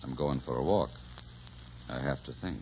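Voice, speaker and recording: Deep-voiced, torn Irish patriot, old radio. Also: male voice, moderate pace, darkish tone. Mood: weary, brooding. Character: earnest, torn Irish independence delegate. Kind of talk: old-time radio drama acting. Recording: dull, noisy old radio recording.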